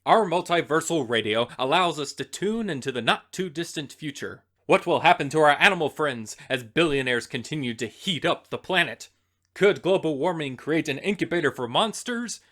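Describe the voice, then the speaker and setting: A man's voice: fairly high pitch, animated, normal speed, fairly bright tone. A man hosting a radio play festival with scripted, dramatic announcing. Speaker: festival host.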